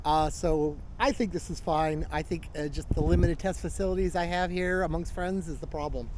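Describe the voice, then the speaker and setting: A man in his fifties with a high-pitched voice talks casually to the camera as he reviews gear. He is plain-spoken and works things out aloud. There is some background noise in the recording. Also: steady tone, normal speed. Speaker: product reviewer, vlogger. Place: living room and outdoors in a backyard by a canal. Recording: clear background noise, ambient sound.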